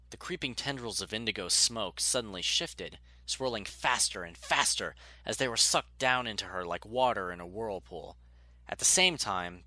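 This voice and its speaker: Low, forceful male story narrator. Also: dry recording. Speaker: audiobook narrator. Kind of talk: scripted dramatic story narration.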